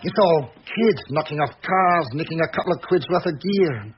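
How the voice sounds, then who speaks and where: An actor playing a chatty, cynical ex-crook who runs a country pub, bluntly grumbling and bantering in a radio drama. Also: male voice, on the high side, moderate pace, close to an everyday voice. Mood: wry, matey. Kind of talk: naturalistic radio drama acting. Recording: muffled, noisy old radio recording.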